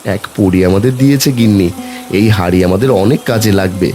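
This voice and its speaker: A man's voice: on the low side, brisk, mellow, soft background music, lightly acted. A man voicing a kindly village father, earnest and reassuring, in a children's fairy tale cartoon. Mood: satisfied.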